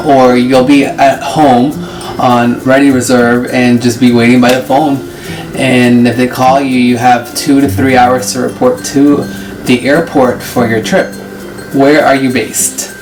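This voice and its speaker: A man in his late twenties answering viewer questions in a casual vlog, chatty and explaining things step by step. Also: medium pitch. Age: 20s. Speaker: vlogger and flight attendant. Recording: distant mic with loud music behind. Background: music.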